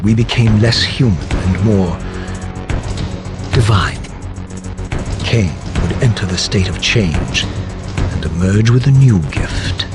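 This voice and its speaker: An actor playing a bitter, proud vampire turned undead wraith in a video game, solemn and theatrical. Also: male voice, fairly low pitch, animated, takes it slowly, pauses often, loud music behind the voice. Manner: solemn, theatrical, formal archaic diction. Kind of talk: dramatic scripted video game narration. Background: dramatic game score.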